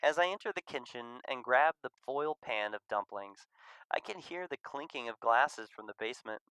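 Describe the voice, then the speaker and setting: A man reading a visual novel aloud as expressive scripted narration. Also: mid-range voice, moderate pace, smooth, no echo, rough recording.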